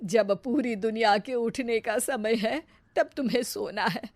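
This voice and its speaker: Lady putting on fed up scolding saas for a cartoon story, reproachful, highish voice. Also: a little slow, no echo. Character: scolding, exasperated mother-in-law.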